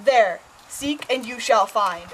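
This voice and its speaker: A woman's voice: a little high, slow, slight background noise, rough recording. A woman playing a king's brother who formally delivers a god's message in an amateur Greek tragedy. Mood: grave.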